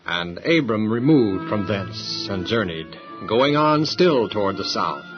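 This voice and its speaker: A man's voice: fairly low pitch, expressive, unhurried. A man narrating a dramatized Bible story in a scripted storytelling style. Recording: muffled sound with music underneath.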